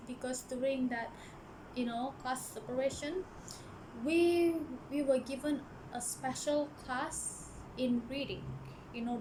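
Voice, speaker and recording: A young Malaysian woman shares a personal story in a casual vlog, her voice sitting a little high. She speaks earnestly and hesitantly, often stopping to restart a sentence. Clear background noise runs under her voice. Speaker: book vlogger. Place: church office.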